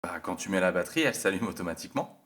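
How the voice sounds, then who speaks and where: A man's voice: low voice, expressive, racing, a bit bright, breathy edge, heavily acted. A man playing a casual courier dispatcher in an audio story, talking in an offhand, colloquial way. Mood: surprised. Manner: offhand, colloquial spoken delivery. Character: casual upbeat male bike-courier dispatcher on the phone.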